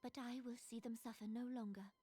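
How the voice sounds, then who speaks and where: A young queen of a fantasy game, high in pitch and grave in temper. Her speech is regal and deliberate, with formal old-fashioned phrasing, recorded close and dry. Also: fairly even intonation, warm tone, slightly rough, dry recording. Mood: grave, resolute. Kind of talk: video game cutscene acting.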